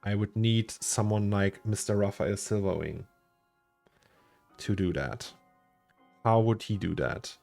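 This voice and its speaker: A low, gravelly man with a German accent talks his way through a fiddly software problem on a livestream. He repeats words as he thinks and chuckles between thoughts, with soft music playing behind him. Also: slow pace, pauses often. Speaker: 3D artist streaming his work. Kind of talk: casual livestream chat while working.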